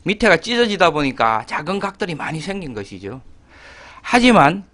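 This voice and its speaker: Gyeongsang-accented pastor, slow and deliberate. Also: male voice, a little high, very animated, a bit bright, no echo. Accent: Gyeongsang dialect. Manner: slow, deliberate, with pauses and filler "아". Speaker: pastor, guest. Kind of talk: conversational explanation.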